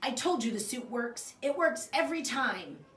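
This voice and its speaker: A cheerful, cocksure little storybook creature voiced by a woman, matter-of-fact and pitched high. The sound is roomy, slightly away from the mic. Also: animated, normal speed, a bit bright, a little hiss or hum. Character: small green mystery creature, cheerful, cocksure. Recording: roomy echo, distant mic.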